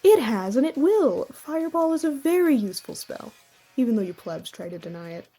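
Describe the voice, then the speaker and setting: A woman plays a mischievous young spellcaster in a fantasy comedy audio drama, cocky and melodramatic. The voice is slightly high, with a mellow tone and a husky rasp. The delivery is teasing and theatrical. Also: big pitch swings, brisk, faint music behind, heavily acted.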